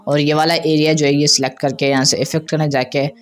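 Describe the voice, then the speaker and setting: A man hosting a casual, step-by-step software tutorial. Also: a little high, little pitch movement, fast. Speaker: tutorial host.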